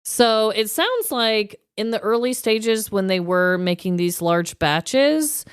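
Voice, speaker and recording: A woman co-hosting a podcast, telling stories from her notes in an informal, animated, emphatic way. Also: mid-range voice, slow pace, smooth, no room sound, studio quality. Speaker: podcast co-host. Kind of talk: chatty storytelling, reading quotes from notes.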